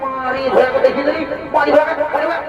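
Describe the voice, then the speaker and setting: A man's voice: very high-pitched, fairly even intonation, fast. A man playing a quarrelsome, wailing village wife in a Bengali folk comedy stage show, taunting with repeated exclamations and curses. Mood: angry, taunting. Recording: echoey distant stage PA, dull, music and crowd.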